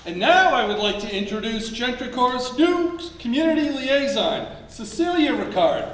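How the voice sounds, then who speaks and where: An upbeat corporate leader played by a man gives a formal stage speech. His voice is extremely high for a man, and it comes from far off in an echoey room. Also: sing-song, moderate pace. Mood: proud, welcoming. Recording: echoey hall, distant mic, faint noise.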